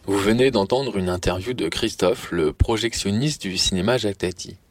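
A man presenting a community radio segment, reading scripted links in a steady announcing tone. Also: on the low side, moderate pace.

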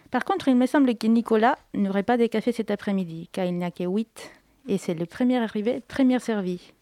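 A young woman, a doctoral student, reading her own prepared literary text on air in a radio studio, in a measured, deliberate way. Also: a little high, warm tone, dry recording. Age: young adult. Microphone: studio microphone.

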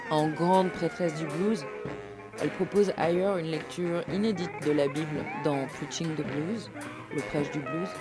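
A woman's voice: low voice, unhurried. A woman radio presenter reading a scripted documentary narration in a literary, storytelling way. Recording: live radio show with music. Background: music.

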